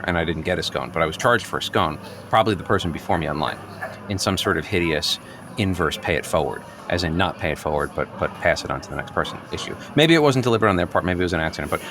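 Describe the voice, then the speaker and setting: Neurotic office worker, very deep voice, wordy, hesitant, pedantic, agitated, improvised radio comedy, noticeable urban noise. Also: male voice. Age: late 30s. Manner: wordy, hesitant, pedantic point-by-point self-justifying. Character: neurotic, agitated office worker newly rejecting social norms. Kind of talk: improvised audio comedy dialogue.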